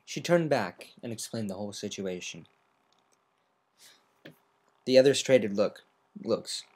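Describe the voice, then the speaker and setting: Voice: medium pitch, lively intonation, slow, darkish tone. An amateur narrator giving a semi-dramatic reading of a scripted story, occasionally stumbling over words.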